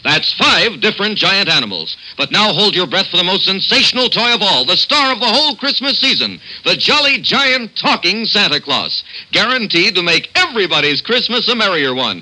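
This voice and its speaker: An American man reading a 1950s radio advertisement as an excited, hard-sell pitchman. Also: normal speed, few pauses, low-quality recording.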